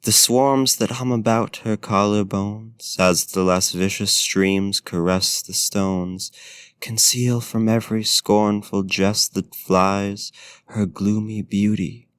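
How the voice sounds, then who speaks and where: A man's voice: fairly even intonation, slow pace, no echo, clean studio sound. A man playing a courtly, bookish, flirtatious vampire in an intimate ASMR roleplay, speaking with formal, genteel phrasing. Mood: solemn, poetic. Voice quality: smooth, deep. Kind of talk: ASMR roleplay, intimate talk and poetry reading.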